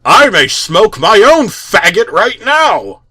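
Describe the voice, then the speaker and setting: Man voicing a crude cartoon dad, loud, raised pitch, dry close sound. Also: sing-song, slow, slightly rough, dry recording, exaggerated voice. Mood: blustering.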